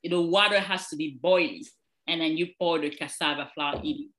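A woman's voice: sits low, brisk, a bit bright. A woman from Cameroon, a nurse practitioner guest, chatting and explaining plainly, laughing readily. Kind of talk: casual interview chat.

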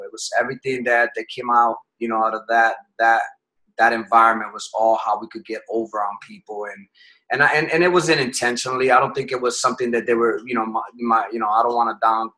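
Bright-voiced man from Puerto Rico. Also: mid-range voice, fairly even intonation, rough recording. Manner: reflective, slow to find words, often restarting phrases. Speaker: podcast guest. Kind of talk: telling his life story in an interview.

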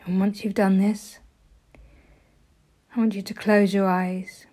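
A woman leading a guided meditation with soft, gentle, often breathy delivery, giving soothing instructions. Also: mid-range voice, level tone, takes it slowly.

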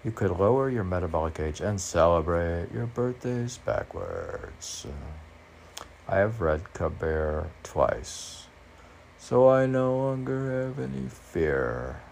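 A man with a very low, gravelly voice gives an informal poetry reading. He speaks slowly, musing aloud and questioning himself between lines. There is some noise behind him. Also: big pitch swings, darkish tone. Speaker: poet reading and commenting on own poems.

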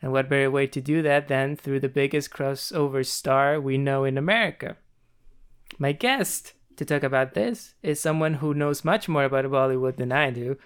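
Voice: medium pitch, moderate pace, clear, resonant tone. A podcast host reading a rehearsed, upbeat introduction and then interviewing a guest.

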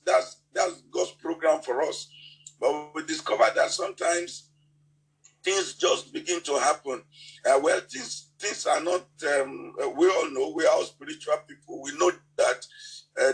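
A man with a Nigerian accent preaching a sermon, halting and often repeating words as he restarts his sentences. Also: on the high side, rough recording.

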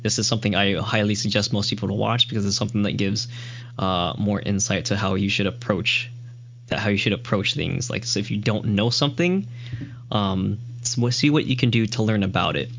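A man's voice: lowish voice, fairly quick, gravelly, a bit bright, dull audio, a little hiss or hum. A man hosting a casual podcast, rambling through his thoughts with restarts and filler likes. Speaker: show host reviewing a series.

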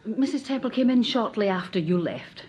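A woman's voice: mid-range voice, expressive, moderate pace, darkish tone, close to an everyday voice. An actress playing a polite, helpful young woman who is worried about a guest, in a radio drama. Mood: concerned. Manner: polite, concerned. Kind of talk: 1940s radio acting.

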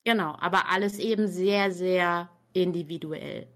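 A young woman, an author and reading coach, chatting casually on a livestream from a warm room at home, talkative and quick to laugh at herself. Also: fairly low pitch. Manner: chatty, laughing often, self-mocking.

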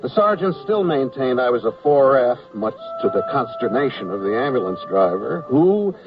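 A man's voice: medium pitch, very animated, normal speed, over music. An actor playing a reasonable, exasperated male war correspondent in a radio comedy drama, wry and conversational. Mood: wry narration. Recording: dull radio recording, slightly distant.

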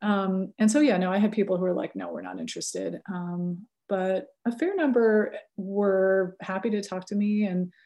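A woman's voice: medium pitch, moderate pace, gravelly, few pauses, warm tone. A woman in her thirties, a researcher and teacher, talking casually in an interview, full of fillers and self-corrections with frequent laughs. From California.

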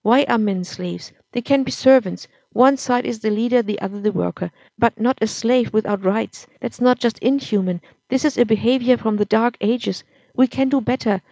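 A woman reading a storybook, voicing a firm, indignant young woman doctor who speaks out loudly. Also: a little high, normal speed, no echo, rough recording. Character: Eve, an outspoken young woman doctor fighting injustice.

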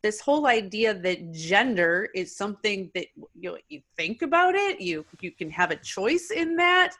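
A woman hosts the conversation in a bright, forward voice at a middle pitch. She talks thoughtfully and frames her questions around parents' concerns. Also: very animated, normal speed. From rural Wisconsin, US Midwest. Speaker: podcast host. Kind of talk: conversational interview.

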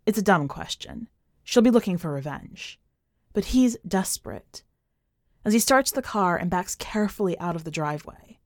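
A woman's voice: lowish voice, moderate pace, no echo. Woman narrating fiction, close dry sound. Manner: expressive, acts out dialogue. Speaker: podcast host reading a short story. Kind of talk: scripted audiobook-style fiction narration.

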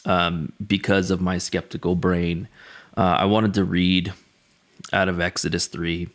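Deep-voiced man giving a casual devotional. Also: flat delivery. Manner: halting, reflective, conversational. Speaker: pastor or devotional speaker. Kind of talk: casual spoken devotional with personal storytelling.

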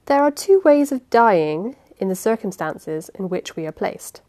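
A woman voicing a calm, cold male sea captain who speaks in a measured, lecturing way. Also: mid-range voice.

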